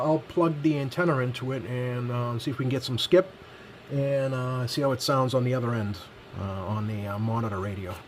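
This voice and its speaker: Man reviewing gear over clear background noise. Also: mid-range voice. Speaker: product reviewer. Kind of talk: casual hands-on radio review.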